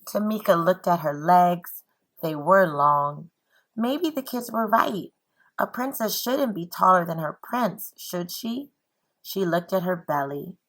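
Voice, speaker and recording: A woman speaks as a teacher at storytime, with a slightly low pitch and a very slow pace. Her voice is bright and clear-cut in emphasis. She is expressive and encouraging, as if guiding young pupils. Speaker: teacher reading bedtime stories aloud. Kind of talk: expressive children's read-aloud and encouraging address.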